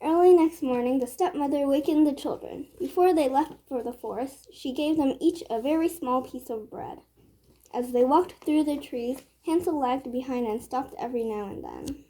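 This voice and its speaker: A woman storyteller narrating a children's read-aloud tale with measured phrasing. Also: high-pitched, warm tone, rough recording.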